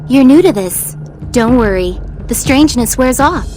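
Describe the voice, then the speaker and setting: A woman's voice: fairly high pitch, lively intonation, normal speed, darkish tone, lightly acted. A woman playing a confident, upbeat young mutant rescuer in a video game, breezy and assured. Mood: breezy, cheerful. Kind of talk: video game cutscene acting. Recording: prominent music behind the voice.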